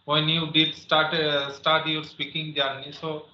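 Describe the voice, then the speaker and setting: Brief: 30s man, slightly high voice, halting learner full of "uh", dull boomy call audio. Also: flat delivery, slight background noise. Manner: halting, many pauses and fillers. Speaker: teacher and English learner. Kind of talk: English practice conversation. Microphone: video call. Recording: muffled, echoey video call audio.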